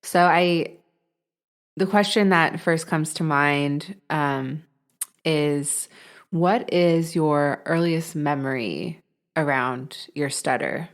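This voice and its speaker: A woman hosting a podcast interview, calm and measured as she asks questions and reflects. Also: deep voice. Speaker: podcast host.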